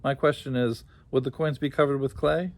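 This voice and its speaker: A man voicing a feisty, shrewd, loyal wife in a story, exclamatory and sharp-witted. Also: mid-range voice, steady tone, fairly quick, pitched above the performer's own voice, lightly acted.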